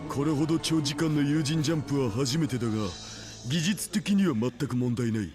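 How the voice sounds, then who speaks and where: Very fast-talking, matter-of-fact scientist dad played by a man. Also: on the high side, lightly acted. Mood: serious, focused. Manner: matter-of-fact, technical explaining. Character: grown man, practical scientist father in a resistance. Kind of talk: Japanese visual novel voice acting. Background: game music.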